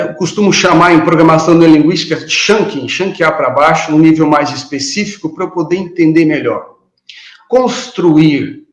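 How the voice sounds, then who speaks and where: A man in his fifties with a slightly higher voice speaks earnestly over a video call, hesitating often as he reflects aloud. The sound comes from a noticeably echoey room. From Paraná, Brazil. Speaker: guest speaker, behavioral trainer. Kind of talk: online lecture talk.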